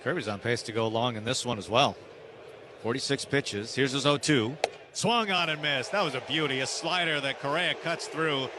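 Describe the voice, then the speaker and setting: A man's voice: mid-range voice, normal speed. A man doing live radio play-by-play commentary of a baseball game. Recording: steady background ballpark noise. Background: outdoor stadium ambience.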